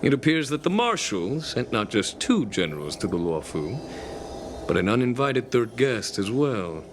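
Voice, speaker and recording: A man voicing a shrewd, unhurried general in a video game, articulate and dryly wry. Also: medium pitch, big pitch swings, background noise, close to an everyday voice. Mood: wry, probing.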